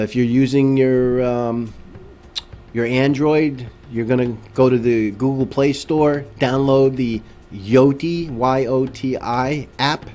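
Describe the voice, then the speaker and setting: A man's voice: mid-range voice, warm tone. A man hosting a casual late-night livestream, talking loosely with many ums and uhs and reading viewer messages aloud into a desk microphone. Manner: rambling, many fillers, reads chat aloud. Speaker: livestream host.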